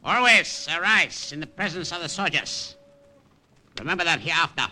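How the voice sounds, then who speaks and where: Sharp, officious army captain. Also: male voice, on the high side, animated, normal speed. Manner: clipped, commanding, broken English. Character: stern Japanese army captain, officious and suspicious. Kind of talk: 1950s radio drama acting. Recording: noisy old radio recording.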